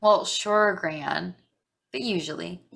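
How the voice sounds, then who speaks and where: A woman's voice: on the low side, slow pace, creaky, a bit bright, full character voice. A woman playing an eager, bookish teenage granddaughter in a staged play reading, speaking earnestly. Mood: earnest, curious.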